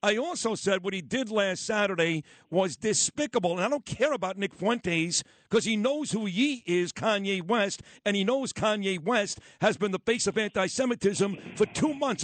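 Emphatic Brooklyn radio host. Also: male voice, sits high, no echo. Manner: emphatic, enthusiastic, fast-talking. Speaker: radio morning show host. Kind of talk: radio talk show. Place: radio studio.

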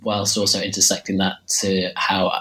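Low, flat-voiced man with a cold. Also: normal speed. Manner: hesitant, many fillers and restarts. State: slightly hoarse with a cold. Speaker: author discussing his book. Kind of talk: interview answer.

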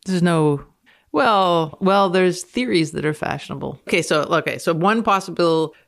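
A woman's voice: on the low side, moderate pace, creaky. A woman speaking as a guest on a science podcast, moving between casual chat and reading aloud from a paper.

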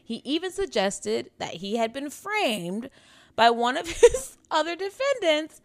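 A woman's voice: high voice, very animated, measured pace, no room sound. A woman in her late twenties co-hosting a true-crime podcast in casual, candid, slangy talk with some swearing. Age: late 20s. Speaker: podcast co-host.

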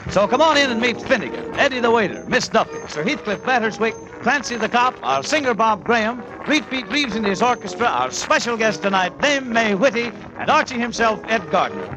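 Over prominent music, a man announces in vintage 1940s radio style. His voice is high and very animated, projected like a showman. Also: brisk, hardly any pauses, rough recording.

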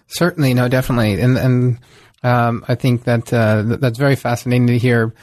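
A man hosting an academic book podcast, reading a formal introduction and then asking questions in a measured way. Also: mid-range voice, fairly even intonation, darkish tone, no echo. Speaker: podcast host. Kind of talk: scripted introduction and interview questions.

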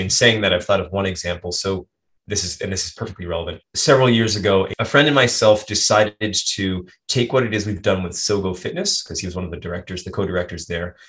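American guy w a really low voice, talking it through, lots of restarts and side notes. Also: a bit bright. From United States. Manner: thoughtful, rambling with restarts and asides. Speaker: guest, health and performance coach. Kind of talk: conversational interview answer.

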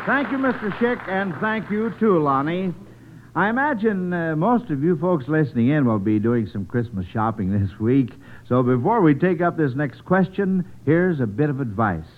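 A man's voice: fairly high pitch, lively intonation, moderate pace. An American man hosting an old-time radio quiz show. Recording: muffled, band-limited vintage radio broadcast.

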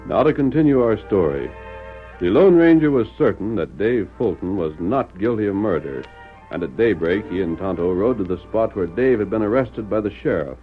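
A man's voice: fairly low pitch, animated, normal speed, faint music behind. A man narrating an old-time radio drama as announcer, in a formal, dramatic manner. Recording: tinny vintage radio recording.

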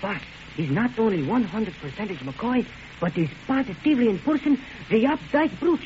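Casting: eager comic henchman, high voice, mangled English, dull old radio recording. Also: lively intonation, moderate pace, a little hiss or hum. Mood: eager, impressed. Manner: broken, comic grammar. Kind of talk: 1940s radio acting.

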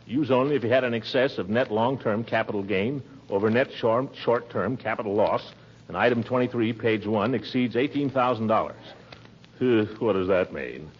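A man's voice: on the low side, lightly acted. An actor playing a blustering, hesitant middle-aged man who mutters to himself in a 1940s radio comedy. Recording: muffled old radio recording, faint noise.

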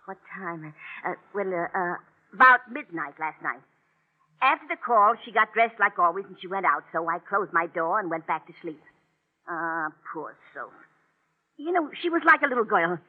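A woman playing a batty, chatty, excitable old landlady with a folksy, repetitive manner in an old radio drama. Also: fairly low pitch, normal speed. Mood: eager, rambling. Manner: folksy, repetitive, chuckling, calls men sonny. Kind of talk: old-time radio acting. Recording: tinny, band-limited vintage radio recording.

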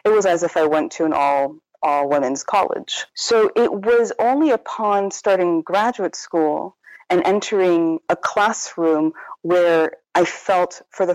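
A woman's voice: deep voice, a little mellow, no echo, rough recording. A woman author speaking in an interview, thoughtful and self-correcting, often restarting her sentences. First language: Korean. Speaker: author guest. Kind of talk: casual academic interview.

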